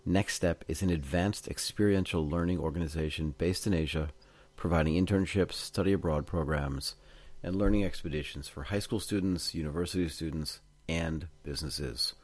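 Deep-voiced male podcast host. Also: moderate pace, darkish tone. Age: middle-aged. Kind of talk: conversational podcast interview.

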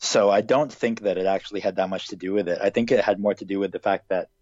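A man's voice: low voice, steady tone, fast, darkish tone, no echo, muffled recording. A man in his twenties who grew up in Hong Kong, answering interview questions in a casual, hesitant way with many fillers. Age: 20s.

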